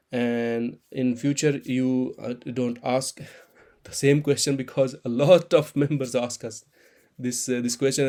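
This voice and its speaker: A man in his thirties, an Urdu speaker, explaining a trading chart in halting with many restarts. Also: medium pitch, mellow. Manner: halting non-native English, frequent restarts and repeated words. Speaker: crypto trading channel host.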